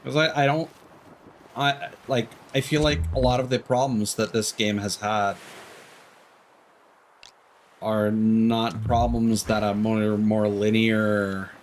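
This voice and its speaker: A man's voice: on the low side, little pitch movement, slow pace, long pauses. A man giving casual, rambling live gameplay commentary with slangy asides. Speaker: game streamer. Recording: clear background noise with clicks.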